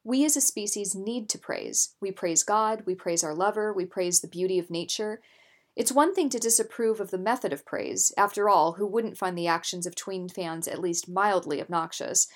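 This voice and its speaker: A woman narrating scripted nonfiction as an audiobook reader, in a measured, articulate way. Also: medium pitch.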